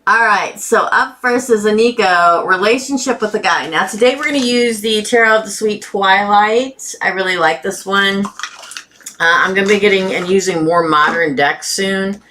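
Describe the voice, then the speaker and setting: Bright-voiced woman with a sore throat. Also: mid-range voice, normal speed, a little hiss or hum. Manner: chatty, addresses viewers by name. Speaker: tarot reader hosting a live stream. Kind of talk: casual live-stream chat.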